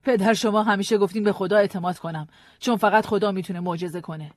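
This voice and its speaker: Widow figure, done by a male reader: high, soft-spoken, insistent, dry recording. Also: steady tone, no room sound, lightly acted. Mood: pleading. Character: grieving widowed woman, quietly determined.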